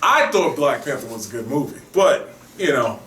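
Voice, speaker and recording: A man's voice: medium pitch, very animated, normal speed, recorded from a distance, noisy background. A man hosting a casual geek news podcast, recorded with a roomy echo a little off the mic. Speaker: podcast host.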